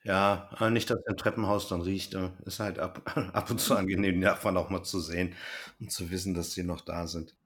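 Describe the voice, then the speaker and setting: Casual male podcast host, lowish voice. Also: little pitch movement, a little fast, a bit bright.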